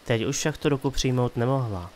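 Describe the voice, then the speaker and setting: A man's voice: mid-range voice, a little mellow. A man giving scripted dramatic narration of a fantasy story as the narrator of an audio drama. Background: music.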